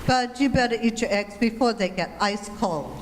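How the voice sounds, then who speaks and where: A motherly, practical housewife played by a woman with a high voice. She has the placating manner of an old radio comedy wife, recorded in a noisy, echoey hall. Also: animated, normal speed, lightly acted. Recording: echoey hall stage recording with noticeable noise.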